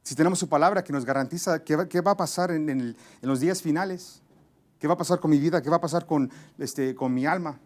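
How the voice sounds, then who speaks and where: Male preacher, slightly high voice, halting bilingual delivery with frequent restarts. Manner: halting, many restarts and fillers, searching for Spanish words. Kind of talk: sermon.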